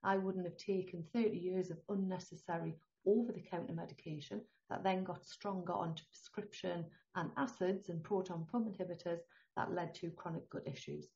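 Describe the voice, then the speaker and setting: A middle-aged to older woman with a northeast English accent, a holistic healthcare guest talking in a chatty, animated way and laughing often. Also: lowish voice, a little fast. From northeast England. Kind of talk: conversational interview. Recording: dull lo-fi sound.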